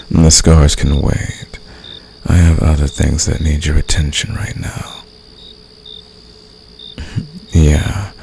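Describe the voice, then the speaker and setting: Very deep, gravelly, flirtatious fantasy villain, acted by a man. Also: full character voice. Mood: seductive. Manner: teasing, seductive, soft-spoken. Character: flirtatious, teasing male fantasy villain, secretly caring rebel. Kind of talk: intimate ASMR roleplay addressed to the listener. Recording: noisy, with nighttime crickets and insects. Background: cricket and insect night ambience.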